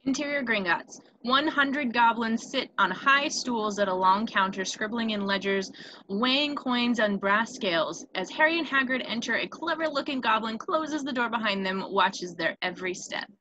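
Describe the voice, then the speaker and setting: A woman's voice: a little high, expressive, normal speed, pushed and bright. A woman reading scripted screenplay stage directions as narrator, on a dull, noisy home recording.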